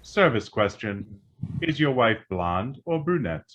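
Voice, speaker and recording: An actor playing a calm talking computer appliance, formal and impersonal like an announcer, in a radio drama. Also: male voice, mid-range voice, slow, muffled recording, exaggerated voice. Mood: impersonal, matter-of-fact. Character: calm male talking computer appliance giving deadly advice.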